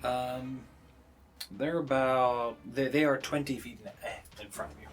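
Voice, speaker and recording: A man running a tabletop game as game master, narrating scenes off the cuff with halting pauses to think. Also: mid-range voice, steady tone, soft background music.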